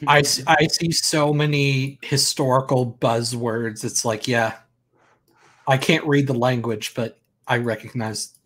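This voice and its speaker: Man, medium pitch, excitable, loud reactions, casual video call chat. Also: a little slow, rough recording. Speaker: gamer guest. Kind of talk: casual chat while gaming. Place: home room on a video call.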